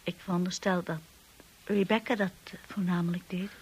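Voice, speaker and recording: Hesitant, breathy young wife. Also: female voice, on the low side, fairly even intonation, moderate pace, low-quality recording. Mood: polite, curious. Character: insecure, earnest young wife of a manor owner. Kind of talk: Dutch radio drama acting.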